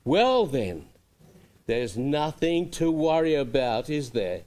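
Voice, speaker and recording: An actor playing a busy, unconcerned police sergeant, brisk and condescending, in suspense radio drama style. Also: male voice, medium pitch, sing-song, a little mellow. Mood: dismissive, placating. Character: dismissive, busy police sergeant on desk duty.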